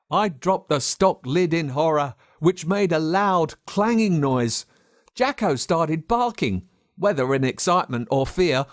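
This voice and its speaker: An Australian man reading a story aloud as a dramatic narrator, expressive and measured with telling pauses. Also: on the high side, no echo, studio quality. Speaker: audiobook narrator. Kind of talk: dramatic scripted storytelling in first person.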